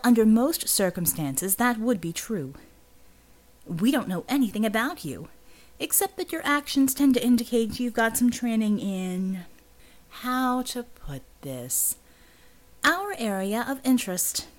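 A woman voicing a smug male mercenary recruiter in an audiobook, with insinuating, smooth sales patter. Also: on the high side, big pitch swings, unhurried. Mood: coaxing, smug.

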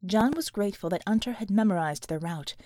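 A woman's voice: medium pitch, expressive, a little fast, darkish tone, no echo, clean studio sound. A woman reading dramatic action fiction as an audiobook narrator, in a scripted storytelling style.

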